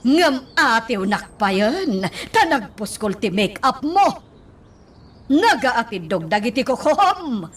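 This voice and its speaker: A woman's voice: a little high, distant mic, heavily acted. An Ilocano-accented woman playing a jealous, bossy madam of the household in a radio drama, scolding and questioning sharply.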